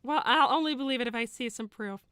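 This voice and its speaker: A woman's voice: a little high, fast talker, a bit bright, clean tone, no echo, clean studio sound, natural voice. A woman voicing a motherly fox, naive, chatty and stubbornly opinionated, in an improvised livestream roleplay. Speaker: character on a live roleplay show. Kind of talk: improvised in-character livestream chat.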